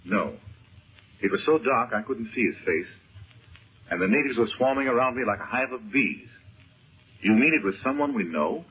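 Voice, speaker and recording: Muffled old radio, earnest protective hero. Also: male voice, medium pitch, expressive, normal speed, long pauses, breathy edge. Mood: concerned questioning. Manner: earnest, grave, reassuring. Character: concerned, protective uncle, a magician hero. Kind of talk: 1930s radio serial acting. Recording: muffled 1930s radio recording.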